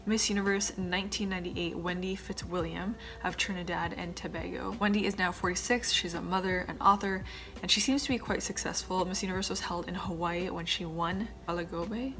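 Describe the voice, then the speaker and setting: Lowish voiced woman in her 20s vlogging, chatty, bit stuffed up, faint music behind. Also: steady tone, fairly quick, a bit bright. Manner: chatty, with asides muttered under her breath. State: slightly stuffed up. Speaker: vlogger, former pageant contestant. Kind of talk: casual chat to camera.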